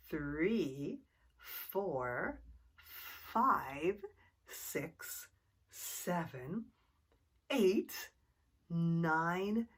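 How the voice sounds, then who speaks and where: Female storytime reader: lowish, husky, expressive, addressing young children. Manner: asks the young listeners questions.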